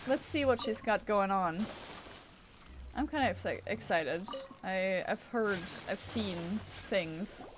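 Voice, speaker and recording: A woman's voice: mid-range voice, background noise. Rambling woman streamer, casual and chatty. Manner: rambling, self-interrupting; swears casually. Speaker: game streamer. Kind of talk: casual livestream gameplay chat.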